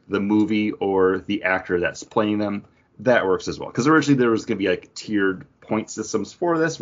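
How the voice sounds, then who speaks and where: A man hosting a casual trivia quiz, chatting and reading out questions on a lo-fi online call. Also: lowish voice. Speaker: podcast host running a trivia quiz. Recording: dull lo-fi voice call.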